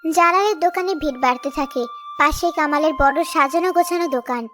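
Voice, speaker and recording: A woman's voice: sits high, fast, a bit bright, soft background music. A woman narrating a scripted story as its narrator.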